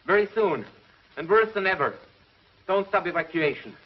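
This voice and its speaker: A man's voice: high-pitched, lively intonation, normal speed. A middle-aged man playing a grim, fatalistic commissioner in a 1950s television serial. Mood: urgent, grim. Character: grim, fatalistic uniformed commissioner fearing disaster. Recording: dull 1950s TV soundtrack, faint noise.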